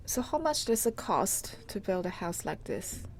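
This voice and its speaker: A woman reporter narrates an unscripted walk-through on location, with some faint noise around her. Her pitch is average and her pace is slow. She speaks haltingly, often pausing in the middle of a phrase. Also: big pitch swings. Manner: halting, hesitant delivery with pauses mid-phrase. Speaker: reporter giving a live guided tour. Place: on location inside an old house. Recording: clearly noisy on-location live recording.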